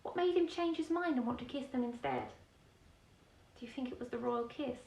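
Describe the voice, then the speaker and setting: Echoey, higher-voiced woman reading a bedtime story. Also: fairly quick, vocal fry, a little mellow. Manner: explains and puts simple questions to young listeners. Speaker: storyteller reading a children's picture book. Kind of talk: expressive bedtime story reading with asides to young children. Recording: roomy echo, distant microphone.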